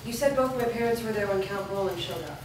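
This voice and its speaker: A woman's voice: mid-range voice, fast, natural voice. Woman playing a determined daughter, very echoey. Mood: demanding, resolute. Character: determined daughter demanding truth about her parents. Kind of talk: staged reading of a drama script. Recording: noisy, very echoey hall from far off.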